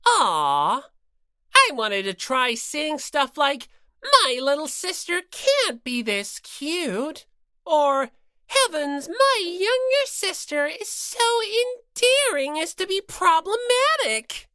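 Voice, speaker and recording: Mischievous bear villain mascot, smug, mock-sweet, theatrical, with a lively swooping delivery for an audiobook. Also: female voice, sits high, slow pace, no echo, clean studio sound, voice pushed up, full character voice. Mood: taunting. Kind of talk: dramatized audiobook character reading.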